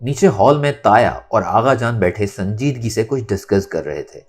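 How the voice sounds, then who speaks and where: A male audiobook narrator with a low voice that moves freely up and down. He tells the story expressively and dramatically. Soft music plays behind him. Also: normal speed. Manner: expressive, acts out dialogue.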